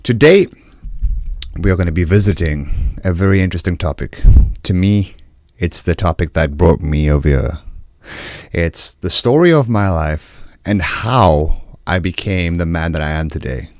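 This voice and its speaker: A man with a South African accent hosting a podcast, telling his own life story in an earnest, measured way with deliberate pauses. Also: sits low, expressive, darkish tone, dry recording, studio quality. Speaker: podcast host. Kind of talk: solo personal storytelling monologue.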